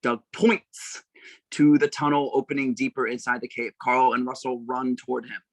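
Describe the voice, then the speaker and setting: A man reading stage directions as narrator at a table read, with measured, dramatic phrasing. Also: medium pitch, flat delivery, dry recording, poor audio.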